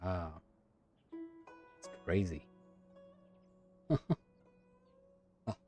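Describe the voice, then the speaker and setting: A man in his thirties reacting to a game as a streamer, musing haltingly and repeating words as he reads aloud. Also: low-pitched, warm tone, soft background music. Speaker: gaming streamer. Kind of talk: live game reaction commentary, reading text aloud.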